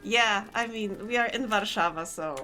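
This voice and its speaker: A woman's voice: medium pitch, moderate pace, sharp tone. A young woman with a Polish accent chatting casually with her stream chat while gaming, laughing often and answering comments. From Poland.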